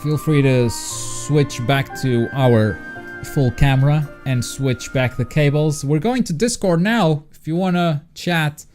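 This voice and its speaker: A man's voice: on the high side, slow, music in the background. A man hosting a livestream and chatting casually and informally with viewers. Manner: informal, swears freely. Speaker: livestream host.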